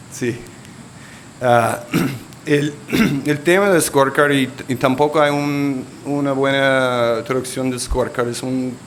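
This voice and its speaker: Presenter: man, Colombian Spanish accent, unhurried and hesitant, mid-range voice, faint room hum. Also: medium pitch. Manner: halting, searching for words, many fillers. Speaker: panel presenter. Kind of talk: conference presentation.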